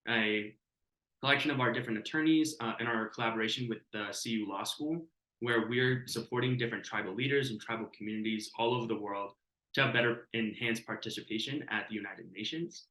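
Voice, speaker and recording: A young man in his twenties introduces himself as a guest. His voice has a bright, forceful edge and rings in a roomy space. He speaks earnestly but haltingly, often pausing on an uh. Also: mid-range voice. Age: 20s. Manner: earnest, halting, many uhs. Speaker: youth mentor, guest. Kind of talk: casual self-introduction.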